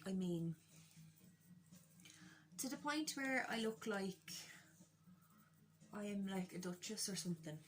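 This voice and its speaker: A woman's voice: fairly high pitch, background noise. An Irish woman chatting casually through a makeup tutorial, candid, joking and self-deprecating, with a bit of swearing. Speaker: beauty vlogger doing a makeup tutorial.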